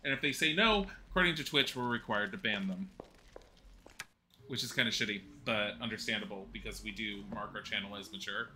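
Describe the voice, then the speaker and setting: Man in his 40s, streamer, very bright sharp tone, rambling chatty, laughs at himself. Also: fairly low pitch, a little fast, rough recording. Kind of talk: casual gameplay commentary while chatting with viewers.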